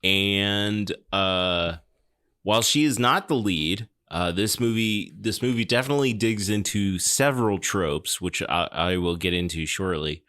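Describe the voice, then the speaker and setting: A man's voice: on the low side, unhurried, bright, forceful tone. A man hosting a podcast, chatting casually about films in a wry, joking, conversational way. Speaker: podcast host. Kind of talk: casual film discussion and show announcements.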